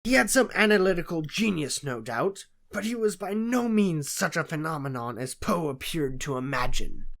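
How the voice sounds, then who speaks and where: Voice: mid-range voice, animated, moderate pace, vocal fry, hardly any pauses. A narrator playing a conceited, analytical male detective in an audiobook, lecturing with self-assured disdain. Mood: dismissive. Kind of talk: audiobook character voice in classic detective story.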